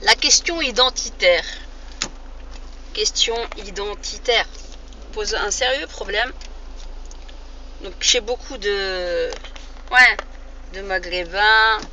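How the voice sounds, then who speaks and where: Sharp, bright-voiced woman, emphatic. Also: medium pitch. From France. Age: 40s. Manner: emphatic, halting, repeats phrases for emphasis. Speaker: vlogger addressing viewers. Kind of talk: informal opinion monologue to camera. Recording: muffled lo-fi audio with faint noise.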